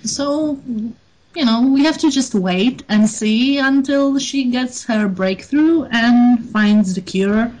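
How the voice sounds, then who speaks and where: A woman's voice: a little high, slow, darkish tone, poor audio. A woman from Europe co-hosting a casual podcast, wry and teasing with dry jokes. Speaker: podcast co-host.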